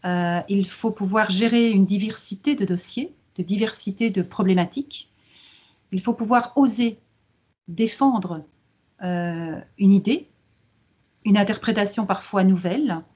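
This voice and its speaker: Middle-aged female lawyer as interview guest, slightly rough-edged husky voice, measured teaching delivery, muffled video-call sound. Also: medium pitch, a little mellow. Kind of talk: explanatory interview answer on a video conference. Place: book-lined office, video call. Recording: dull video-call audio.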